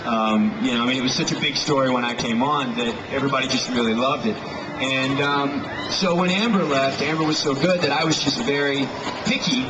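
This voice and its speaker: A young man on a fan-event panel answers questions casually, halting and restarting with many fillers. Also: mid-range voice. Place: large event hall. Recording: distant echoey hall, loud crowd and noise.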